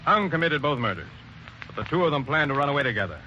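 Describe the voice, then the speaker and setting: A no-nonsense police sergeant who barks clipped orders in a sharp, forceful tone. The sound is muffled and dull, like an old 1940s radio broadcast with a faint hiss behind it. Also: male voice, fairly high pitch, big pitch swings, fast. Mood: firm. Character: brisk, commanding police sergeant detective.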